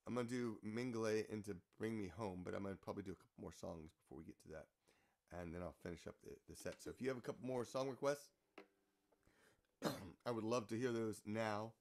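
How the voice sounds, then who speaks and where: A man in his forties chatting casually between songs on a home livestream, relaxed and rambling with fillers. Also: fairly low pitch, brisk, vocal fry. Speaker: singer and host of a live music stream. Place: small living room, indoors.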